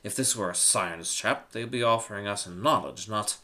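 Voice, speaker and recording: A man's voice: lowish voice, moderate pace, sharp tone. A man voicing a scornful, irritable court philosopher with a pedantic manner in a humorous storybook. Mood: grumbling, scornful.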